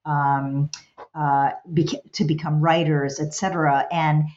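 A middle-aged woman whose first language is Hungarian talks through her past in a thoughtful, halting way, with frequent restarts. Her voice sits very low for a woman. The recording is dull and lo-fi. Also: level tone, darkish tone. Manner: thoughtful, many restarts and fillers. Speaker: guest, college president. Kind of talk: reflective interview answers.

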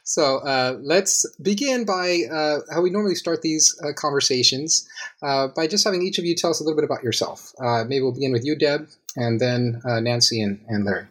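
A man's voice: medium pitch, fairly quick, a bit bright. A man hosting an academic podcast, reading formal guest introductions and then talking with frequent "uh" fillers. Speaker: podcast host.

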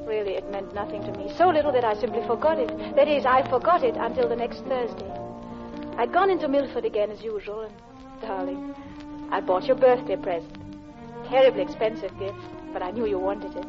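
A high, genteel English woman's voice, played by an actress, earnest and politely hesitant, as a married middle-class wife in a radio play. The old recording is muffled, with music behind it. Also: fairly quick, close to an everyday voice. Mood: wistful, confessional. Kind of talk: old-time radio drama acting, narration and dialogue.

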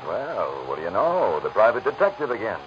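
A smug American henchman with a very low voice that swings widely as he taunts. He is sardonic and unbending, a vintage radio heavy on a noisy old recording. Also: huge pitch swings, brisk, dull audio. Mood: smug taunting. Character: smug, mocking gangster henchman who won't bend. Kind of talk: 1940s radio crime drama acting.

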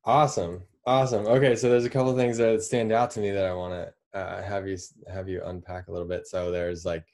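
Relaxed man hosting a podcast. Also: low voice. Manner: relaxed, loose, halting with fillers. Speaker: podcast host. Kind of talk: casual interview talk.

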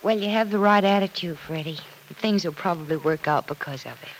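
A woman's voice: on the low side, brisk, close to an everyday voice. Woman acting sensible confidante, vintage radio drama. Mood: gentle, reassuring. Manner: reassuring, plain-spoken, gently wry. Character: sensible, kindly, gently wry single woman confidante. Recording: noisy old radio show recording.

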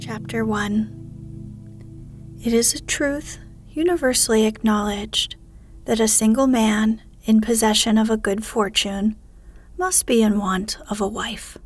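A woman reading a bedtime story aloud in a soft, hushed ASMR whisper. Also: a little high, fairly even intonation, measured pace, soft background music. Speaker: audiobook narrator.

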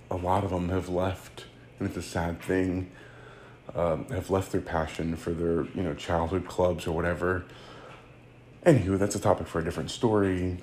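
Low-voiced man hosting a podcast. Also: darkish tone, a little hiss or hum. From United States. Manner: rambling, with many fillers and self-corrections. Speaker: podcast host. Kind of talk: casual solo podcast monologue.

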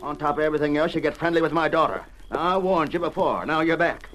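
Man playing a cantankerous old western rancher, fairly high-pitched. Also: fast talker, a bit bright, slight background noise, close to an everyday voice. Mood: angry. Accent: American. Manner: gruff, blustering western drawl. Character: cantankerous, stubborn older male rancher, quick-tempered father. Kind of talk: old radio western acting.

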